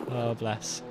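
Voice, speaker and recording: A British man giving live sim racing commentary on a livestream, mixing it with matey, jokey, colloquial banter. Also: medium pitch. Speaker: esports livestream race commentator. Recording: noisy stream with car engine sound.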